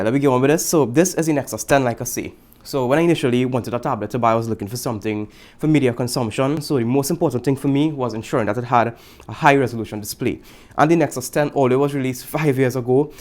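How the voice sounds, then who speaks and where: Young Trinidadian man, mid pitch, relaxed chatty tech-vlogger read with slangy asides. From Trinidad and Tobago. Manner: laid-back, chatty, repeats slang, jokes aside. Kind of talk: casual tech review.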